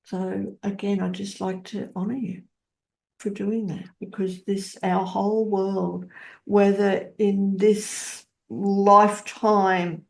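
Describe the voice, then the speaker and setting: A woman hosting a spiritual interview, speaking solemnly in halting, short phrases. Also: medium pitch, flat delivery, gravelly, low-quality recording. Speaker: interview host.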